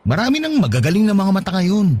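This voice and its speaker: An actor playing an earnest, accommodating but doubtful young suitor with a Filipino accent in a radio drama. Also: male voice, sits high, huge pitch swings, fast talker, mellow, slightly rough, heavily acted. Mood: doubtful, uneasy. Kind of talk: Tagalog radio drama acting.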